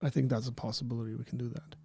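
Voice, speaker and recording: A man hosting a casual livestream, chatting loosely and often trailing off into a quiet mumble. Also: medium pitch, racing, vocal fry, slightly rough, dry recording, studio quality. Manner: relaxed, rambling, trailing off quietly. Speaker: livestream host.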